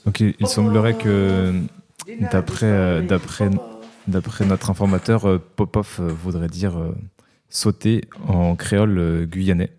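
Male interviewer, slow, deep, dark. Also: steady tone, a little hiss or hum. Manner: slow, halting.

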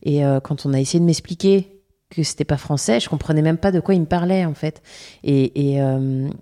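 Young woman, singer from Brittany; low, soft, warm tone; halting, searching speech. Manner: hesitant, many fillers and restarts. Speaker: singer being interviewed. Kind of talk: casual interview answers.

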